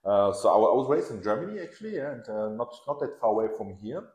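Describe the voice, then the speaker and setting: Low-voiced guy in his 40s, chatty, lots of restarts, roomy echo. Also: quick pace, muffled recording. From Iran. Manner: relaxed, restarts often, laughs while telling stories. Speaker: podcast guest, lawyer. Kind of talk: casual interview.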